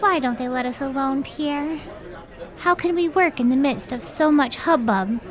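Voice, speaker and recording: A woman's voice: high voice, normal speed, some chatter behind, close to an everyday voice. A woman playing a devoted, hard-working scientist wife in an old time radio drama. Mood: weary, fretful.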